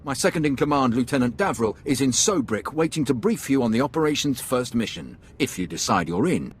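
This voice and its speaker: An actor playing a tough, confident Imperial commander in a video game, speaking bluntly and emphatically. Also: male voice, mid-range voice, animated, fairly quick, slight background noise, close to an everyday voice. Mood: confident, persuasive. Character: tough, confident male Imperial special-forces commander, gruffly determined. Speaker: military commander character.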